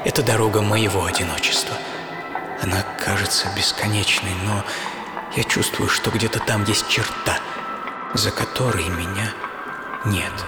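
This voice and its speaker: A man playing a lonely, misunderstood English teenage artist in an introspective first-person radio monologue, speaking in halting dramatic phrases. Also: on the low side, fairly even intonation, natural voice. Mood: lonely, questioning. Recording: music bed behind studio narration.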